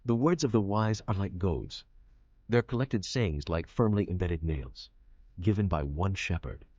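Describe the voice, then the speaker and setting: A man performing as a grave scripture narrator, speaking in a measured and solemn way. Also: sits low, a little mellow. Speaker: audio Bible narrator. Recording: dull, roomy, muffled audio.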